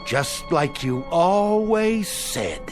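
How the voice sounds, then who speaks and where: A man's voice: a little high, very animated, very slow, loud music behind the voice, heavily acted. A man playing a cocky, touchy, greedy crook in a radio drama, with slangy, needling, streetwise talk. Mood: guarded.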